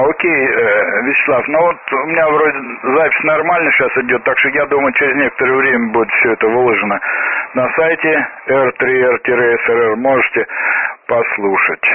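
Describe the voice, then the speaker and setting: A man hosting an amateur radio round table in casual, courteous, slightly hesitant talk, his husky voice affected by a cold. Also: mid-range voice, a little hiss or hum. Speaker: amateur radio net host. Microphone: amateur radio transmitter microphone. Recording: phone-like narrowband radio link, roomy echo.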